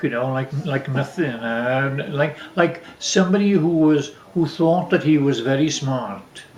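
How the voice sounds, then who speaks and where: Older guy from wales telling old family stories, kinda halting, repeats himself, lowish voice, roomy echo. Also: darkish tone. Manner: halting, repeats words as he recalls. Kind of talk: casual storytelling, reminiscing. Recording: roomy, echoey home recording.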